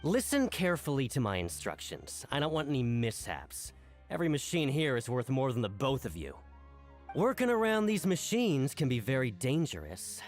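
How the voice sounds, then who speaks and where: An actor playing a gruff, bullying prison foreman in a video game, stern, barking and condescending. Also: medium pitch, huge pitch swings, normal speed, faint music behind. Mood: stern, threatening. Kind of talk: animated video game voice acting.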